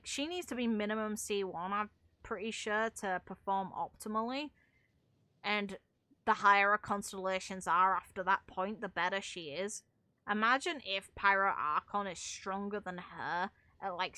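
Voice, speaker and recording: A woman's voice: mid-range voice, pushed and bright, dry recording. A woman doing casual gaming commentary as a streamer, rambling and opinionated with some casual swearing. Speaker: game streamer.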